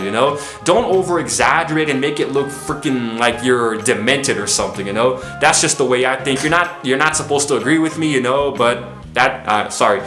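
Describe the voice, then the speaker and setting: Man, casual vlog to camera, low-energy, keeps saying you know, echoey room. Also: fairly bright tone. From Brazil. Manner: chatty, says "you know" a lot. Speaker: vlogger. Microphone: camera mic, filming self.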